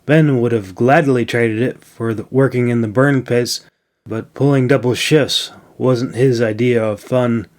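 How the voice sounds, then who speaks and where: A man's voice: medium pitch, fairly even intonation, measured pace, a little mellow. Man narrating a fantasy audiobook. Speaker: author narrating his audiobook. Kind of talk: scripted fantasy story narration.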